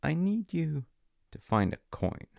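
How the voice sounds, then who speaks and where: A man telling a story in an intimate roleplay as a dad, in a measured, wry way with dramatic pauses. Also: on the high side, sing-song, creaky, a little mellow, dry recording, clean studio sound.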